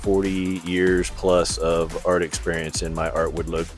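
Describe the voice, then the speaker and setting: A middle-aged man giving a casual, rambling commentary on artwork in an informal, halting, self-deprecating way. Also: sits low, little pitch movement. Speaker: artist showing his portfolio. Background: background music.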